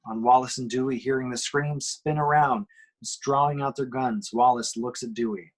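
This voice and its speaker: A man's voice: mid-range voice, fairly even intonation, normal speed. A man reading screenplay action lines dramatically at a table read, punching out the sudden action words. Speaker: reader of the action description.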